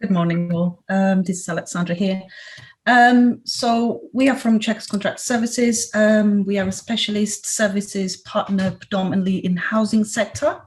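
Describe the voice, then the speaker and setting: A woman presenting her company on a video call, speaking haltingly with many fillers. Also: mid-range voice, steady tone, darkish tone. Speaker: company representative. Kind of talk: webinar presentation.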